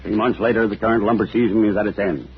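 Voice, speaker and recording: A man's voice: on the low side, steady tone, quick pace, breathy edge. A man narrating an old-time radio drama in a formal, dramatic style. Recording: dull, noisy old radio recording.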